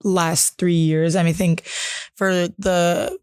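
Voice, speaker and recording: A woman's voice: on the low side, little pitch movement, slow pace, darkish tone, clear, resonant tone, dry recording. Young woman athlete, dry close sound. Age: young adult. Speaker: college volleyball player and co-host. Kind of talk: casual sports talk.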